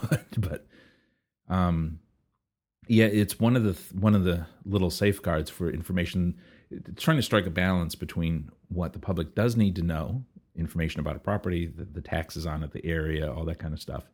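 A man hosting a casual solo podcast in a scratchy, husky voice, rambling and breaking off into asides. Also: deep voice, a little mellow. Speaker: podcast host. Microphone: podcast microphone.

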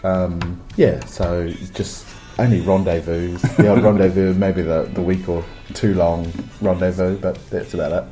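Husky-voiced man with a cold. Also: deep voice, flat delivery, warm tone, soft background music. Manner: giggly, candid storyteller, halting restarts. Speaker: podcast co-host. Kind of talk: casual chat.

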